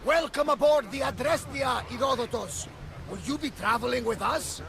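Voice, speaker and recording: An actor playing a boisterous, devout old ship captain who talks loudly and exuberantly in a game cutscene, with crowd sounds behind him. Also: male voice, very high voice, normal speed, gravelly, a little hiss or hum, exaggerated voice. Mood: welcoming. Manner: loud, exuberant, swears by the gods.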